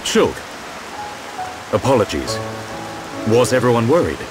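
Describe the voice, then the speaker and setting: A man acting a calm, protective older-brother warrior in a video game, speaking in an earnest, measured, reflective way. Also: mid-range voice, poor audio. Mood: calm, apologetic. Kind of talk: dramatic video game dialogue. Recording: loud music, rain behind voice.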